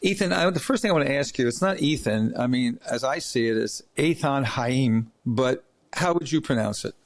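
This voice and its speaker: Gravelly-voiced man hosting a podcast. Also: mid-range voice, animated, moderate pace. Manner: rambling storyteller, chatty, many restarts. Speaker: podcast host. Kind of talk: casual interview chat.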